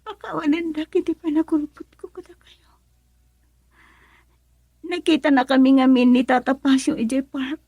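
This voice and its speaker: A fragile young daughter in a radio drama. Her voice sits very high and close, without room sound, as she pleads with tearful hesitation. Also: flat delivery, moderate pace, pauses often, dark tone, no room sound, lo-fi sound. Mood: pleading. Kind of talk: radio drama acting in Ilocano.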